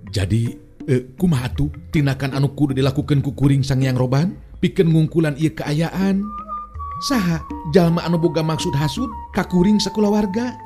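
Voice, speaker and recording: A man's voice: a little high, big pitch swings, moderate pace, mellow, faint music behind, voice pushed up. A man voicing an uneasy young family man, the hero of an audio story, in a musing, questioning manner. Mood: anxious, questioning.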